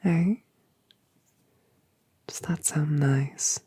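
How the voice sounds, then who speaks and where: A woman voicing a colossal, gentle, motherly dragoness in a whispered audio drama, soothing and affectionate with genteel phrasing. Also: sits low, extremely slow, breathy edge, dry recording, exaggerated voice. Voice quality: soft whisper.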